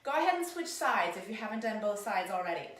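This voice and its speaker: A woman with a cold-roughened voice, casually talking viewers through stretches at a work desk while she demonstrates them. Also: medium pitch, big pitch swings, quick pace, very echoey. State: hoarse from a cold. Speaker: posture and ergonomics instructor. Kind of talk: guided stretching lesson, talking to camera. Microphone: camera mic, sometimes turned away.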